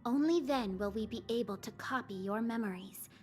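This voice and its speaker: An actress voicing a secretive, coaxing woman who guards memories in a video game, speaking in a measured way. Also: on the high side, a little mellow, lightly acted. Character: secretive, softly insistent mysterious woman, keeper of memories.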